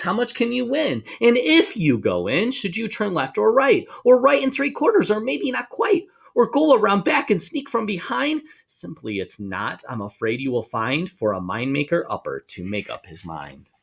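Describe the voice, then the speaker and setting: A man reads a rhyming children's story aloud. His voice sits a little high and swings widely in pitch, with noticeable creak. He is expressive and sing-song, stretching out words for emphasis. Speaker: storybook reader for schoolchildren. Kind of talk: animated read-aloud of a rhyming children's book.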